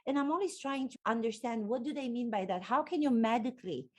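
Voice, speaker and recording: A woman's voice: a little high, quick pace, darkish tone. A woman from Romania with a Romanian accent, hosting an interview and talking expressively as an acting coach. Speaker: podcast host and acting coach. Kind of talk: interview conversation.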